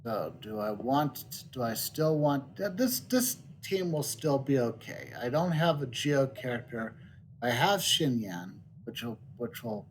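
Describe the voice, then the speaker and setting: A middle-aged man giving casual gameplay commentary, thinking out loud as he streams. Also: medium pitch, a little hiss or hum, rough recording. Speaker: game streamer. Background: game music.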